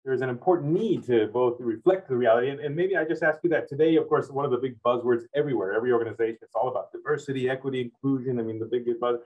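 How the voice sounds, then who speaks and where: A man's voice: medium pitch, very fast, hardly any pauses, far from the mic. A man hosting an interview, asking long, rambling questions that loop back on themselves. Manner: rambling, loops back on his phrasing. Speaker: interviewer host. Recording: tinny phone-like sound, off-mic.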